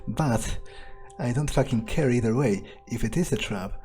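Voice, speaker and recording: A man's voice: medium pitch, measured pace, a little mellow. A man giving in-character commentary on a video game, thinking aloud in a cocksure, sweary way. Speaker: gamer playing in character. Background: faint game music.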